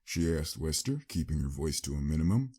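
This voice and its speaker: A man narrates a story in a low, husky voice. His delivery is dramatic and scripted, with very lively intonation. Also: a little fast.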